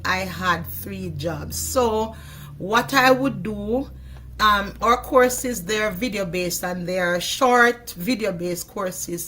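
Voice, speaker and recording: Hoarse Jamaican woman, chatty. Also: mid-range voice, bright, forceful tone. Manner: chatty, repeats "you know what I mean". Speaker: interview guest. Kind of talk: casual interview chat.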